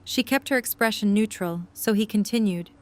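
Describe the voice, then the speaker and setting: A woman's voice: mid-range voice, normal speed, darkish tone. A woman reading scripted story narration as the narrator of an audio drama.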